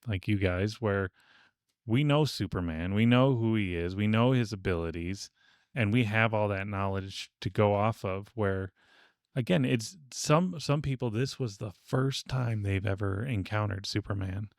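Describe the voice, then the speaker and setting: A man co-hosting a casual podcast discussion, explaining things in a measured way. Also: lowish voice, no echo, clean studio sound. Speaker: podcast co-host. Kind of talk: casual panel discussion of old radio serials.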